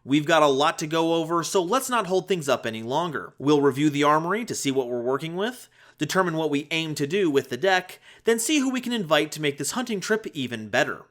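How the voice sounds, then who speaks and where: Male explainer host, high-pitched and very lively, playful emphasis, music underneath. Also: a little fast, few pauses. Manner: animated, playful, emphatic delivery. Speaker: host of a card game analysis video. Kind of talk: scripted explainer narration with jokes. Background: background music.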